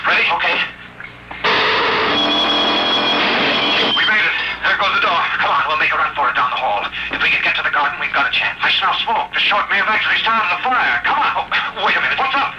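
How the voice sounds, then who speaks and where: An actor playing a desperate, urgent asylum patient plotting escape in a vintage radio drama. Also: male voice, high voice. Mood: panicked. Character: frantic male asylum patient whispering an escape plan. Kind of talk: 1950s radio drama acting. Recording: noisy old radio broadcast recording.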